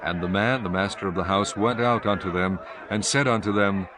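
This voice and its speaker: A man's voice: lowish voice, a little fast, background noise. A man giving a solemn, formal scripted narration of scripture as the narrator.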